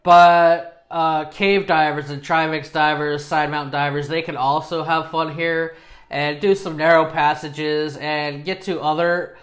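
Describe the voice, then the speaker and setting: A man's voice: on the high side, steady tone, measured pace, fairly bright tone. A man in his thirties presenting a video casually, with an informal, self-deprecating manner, recorded indoors in a small home studio.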